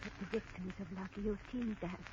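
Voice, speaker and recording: A woman's voice: mid-range voice, fairly even intonation, mellow, natural voice. An actress playing a cryptic, ominous old woman who speaks in riddles, in a 1940s radio drama. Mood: chanting. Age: older. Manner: slow, chanting, speaks in riddles. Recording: dull old radio broadcast, faint hiss.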